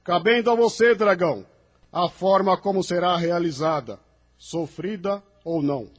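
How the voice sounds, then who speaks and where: A man's voice: high-pitched, normal speed. A man playing a solemn, authoritative angelic spirit in an audio drama, speaking in formal, commanding declamation. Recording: long cavernous reverb.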